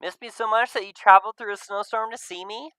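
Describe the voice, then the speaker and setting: A man voicing an upbeat, warm and sarcastic young male furry character in a visual novel, with animated delivery. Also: high voice, quick pace, clean tone, no room sound, voice pushed up. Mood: perky, surprised. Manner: animated, exclamatory.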